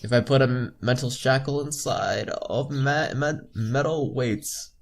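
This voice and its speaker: A man's voice: mid-range voice, unhurried, vocal fry. A young man hosting a casual visual novel playthrough, reading the text aloud with chatty asides and some stumbles. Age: young adult. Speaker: gameplay video host.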